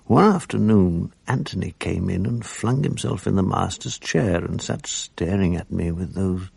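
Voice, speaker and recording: An actor playing a reflective English man who narrates memories of boarding-school days in a measured, articulate, literary way. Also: sits low, vocal fry, a little mellow, husky, no room sound, exaggerated voice. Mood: wistful, reflective. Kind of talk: literary first-person drama narration.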